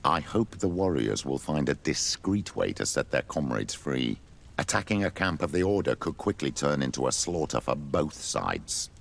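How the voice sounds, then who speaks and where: An actor playing an older missionary priest in a video game, formal, measured and dry, with a gravelly voice. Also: male voice, a bass voice, sing-song, slight background noise, heavily acted. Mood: wary.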